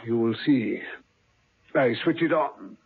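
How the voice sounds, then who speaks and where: An actor playing a gloating middle-aged male scientist villain in a 1970s radio drama, husky and mocking. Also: mid-range voice, slow, heavily acted. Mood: gloating menace. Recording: tinny old radio broadcast.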